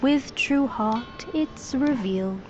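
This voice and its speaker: A young child monk in a video game cutscene, serene with a cheeky streak. The voice is somewhat high and slowly measured, like riddles being recited. It sounds a little muffled, with music underneath. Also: female voice, a little mellow. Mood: serene, knowing.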